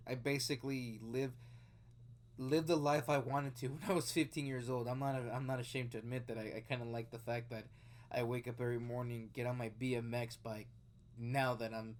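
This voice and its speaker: A man's voice: medium pitch, little pitch movement, a little fast. Rambling twentysomething man reacting to news. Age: 20s. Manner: rambling, conversational, with frequent fillers and restarts. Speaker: video host reacting to news. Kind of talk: casual commentary.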